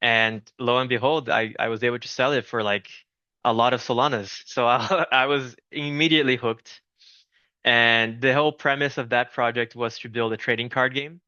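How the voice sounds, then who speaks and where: A Brazilian-born man in his thirties, a founder chatting as an interview guest, friendly and prone to restarting his sentences. His voice is bright and crisp, recorded dry and close with a slightly dull, lo-fi sound. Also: medium pitch, fairly even intonation, normal speed, no room sound. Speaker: game studio founder, guest. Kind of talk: casual interview talk.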